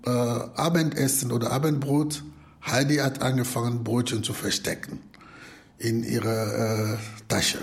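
A non-native German speaker, a man with a foreign accent, retelling and reflecting in a halting, searching way. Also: mid-range voice. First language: not German. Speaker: asylum seeker retelling a story.

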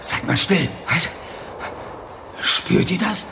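A young male detective on edge in a spooky children's radio play. He speaks slowly and dramatically, easily startled, with noisy atmosphere around him. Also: high-pitched, lively intonation, creaky, exaggerated voice. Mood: hushed alarm. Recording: noisy radio play clip with sound effects.

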